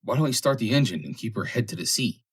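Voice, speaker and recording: Rowdy, indignant sailors all acted by one male audiobook reader. They jeer and question in a raised, husky voice that swings widely in pitch. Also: sing-song, fast. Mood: puzzled. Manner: jeering, questioning. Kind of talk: audiobook character acting.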